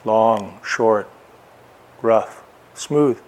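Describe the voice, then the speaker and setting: A man teaching a guided meditation, speaking in short, unhurried phrases with long pauses between them. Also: lowish voice, darkish tone.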